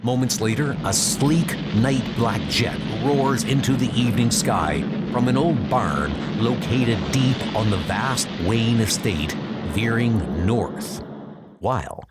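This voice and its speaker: A man giving dramatic, measured scripted narration of a comic book story. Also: medium pitch. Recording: loud music behind.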